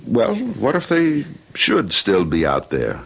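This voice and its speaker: An actor playing a stubborn, dismissive husband in an old-time radio drama, evasive and determined. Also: male voice, on the low side, wildly swooping pitch, slow, creaky, breathy edge, dull audio, heavily acted. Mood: casual, evasive. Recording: noisy old radio recording.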